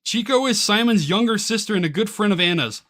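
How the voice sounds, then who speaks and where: A man giving casual reaction commentary as he reads aloud from a web page, excitable and quick to exclaim in disbelief. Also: high voice. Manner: excitable, easily incredulous, exclaiming. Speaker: YouTuber reacting to a game's crowdfunding page.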